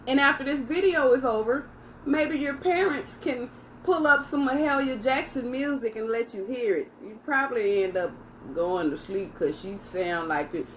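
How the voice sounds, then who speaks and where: A woman's voice: on the high side, normal speed, slight background noise, low-quality recording. A woman hosting a children's story time, reading aloud and speaking directly to young listeners in a teacherly way.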